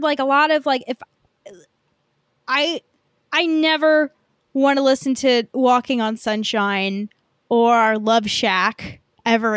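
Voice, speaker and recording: A woman's voice: sits high, a bit bright, no echo, clean studio sound. A woman co-hosting a podcast in casual movie chat, speaking informally with swearing and plenty of filler "like". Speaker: podcast co-host.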